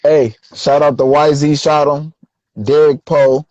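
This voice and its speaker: A young man, a rapper being interviewed, telling stories in a relaxed, slangy way. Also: fairly high pitch, measured pace, dry recording, dull audio. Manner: laid-back, slangy storytelling. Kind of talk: casual interview chat.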